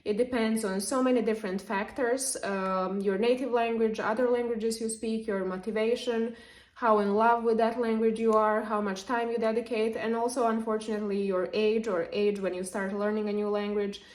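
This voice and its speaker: A young woman from Serbia, a native Serbian speaker, explaining language learning to camera in a lively, chatty way. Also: on the high side, normal speed. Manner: lively, explanatory, with frequent fillers. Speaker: language vlogger. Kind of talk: casual talk to camera. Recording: echoey room.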